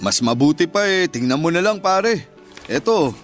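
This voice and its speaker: A man's voice: on the high side, animated, moderate pace, faint music behind, natural voice. An actor playing a chummy, joking male office workmate with a Filipino accent, bantering colloquially in a radio drama. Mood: friendly, joking.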